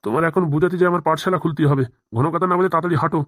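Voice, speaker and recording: Woman doing a low, bullying policeman. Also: fairly even intonation, racing, no room sound, clean studio sound, lightly acted. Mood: menacing. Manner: gruffly commanding, sarcastic. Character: bullying, threatening male village police officer. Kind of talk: Bengali comedy cartoon dialogue.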